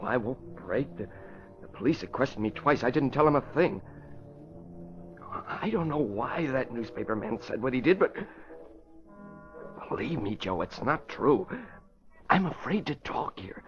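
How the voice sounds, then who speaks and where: An actor playing a guilty, anxious playboy who stammers and pleads, in 1940s radio style. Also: male voice, medium pitch. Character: nervous, guilty rich playboy nephew. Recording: muffled old radio recording.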